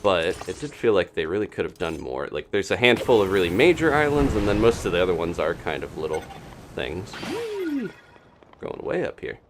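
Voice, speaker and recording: A man streaming a video game, giving casual live commentary and chatting as he thinks out loud. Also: low-pitched, big pitch swings, a little fast, background noise.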